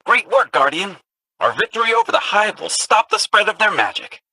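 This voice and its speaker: A man's voice: sits high, sing-song, normal speed, pushed and bright, husky, full character voice, poor audio. A man voicing a small, bookish robot companion in a video game, theatrical and eager, with a nervous streak as it tells spooky lore. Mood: excited. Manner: theatrical, eager storytelling with jittery, swooping delivery. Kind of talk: video game character dialogue.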